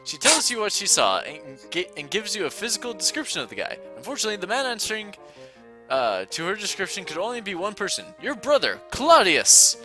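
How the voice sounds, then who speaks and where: A man's voice: high-pitched, huge pitch swings, a little fast, over music. A man reading a text adventure aloud to a friend in casual playthrough narration, playful and often laughing as he reads.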